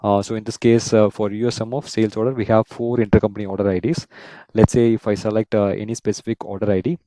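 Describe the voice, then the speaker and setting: A man in his thirties explaining software step by step as a tutorial host, often checking in with a "right?". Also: on the low side, flat delivery, fast, no room sound. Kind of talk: screen-share software tutorial, semi-scripted explanation.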